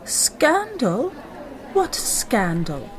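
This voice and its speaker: A woman's voice: sits high, wildly swooping pitch, drawn-out words, a crowd in the background, background noise, heavily acted. A woman playing a devoted grieving young bride. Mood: earnest. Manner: earnest, emotional. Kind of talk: old-time radio drama acting.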